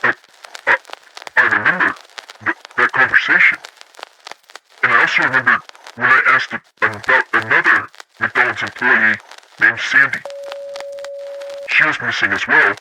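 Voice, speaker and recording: A man playing a confused detective in an amateur horror drama, speaking haltingly with stammers. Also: mid-range voice, bright, forceful tone, slight background noise, rough recording. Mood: confused, uneasy. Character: distressed, confused detective piecing together memories.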